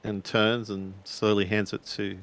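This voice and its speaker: A man playing a tabletop roleplaying game, describing his character's actions in a hesitant, deliberate way. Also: lowish voice, level tone, fairly bright tone.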